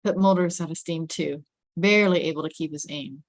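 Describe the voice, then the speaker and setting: A woman's voice: sits low, brisk, dry recording. A woman reading screenplay stage directions as scripted narration.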